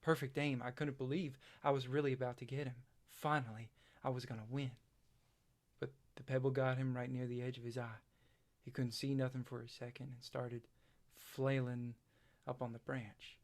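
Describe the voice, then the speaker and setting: A man playing a haunted, self-doubting farm boy in a stage play, halting and plain-spoken. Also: mid-range voice, flat delivery, close to an everyday voice. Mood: haunted, confessional.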